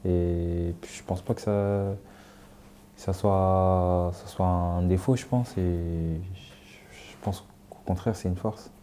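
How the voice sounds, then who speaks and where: A man born in France speaks hesitantly in an interview. His voice is low and very dark. Faint background noise sits behind him. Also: steady tone, slow.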